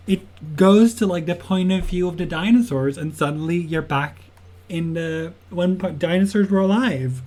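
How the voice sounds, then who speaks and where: Slow, giggly young game developer. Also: male voice, high-pitched, a little mellow. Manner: chatty, giggly, thinks aloud with many fillers. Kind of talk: casual livestream tutorial answering chat.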